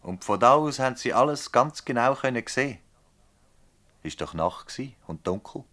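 A man's voice: medium pitch, lively intonation, normal speed, sharp tone. A man acting an insistent, dry public prosecutor in a Swiss German dialect radio drama, questioning formally and pointedly. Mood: insistent probing.

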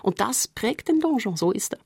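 A woman's voice: on the high side, big pitch swings, normal speed, vocal fry, darkish tone, husky, no room sound, studio quality. A woman giving a spoken review as a comics enthusiast.

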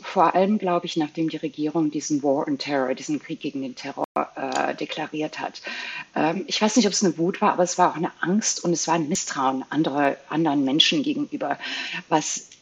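Husky woman from Belgium. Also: deep voice, rough recording. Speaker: interview guest, eyewitness. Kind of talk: personal recollection in a panel interview.

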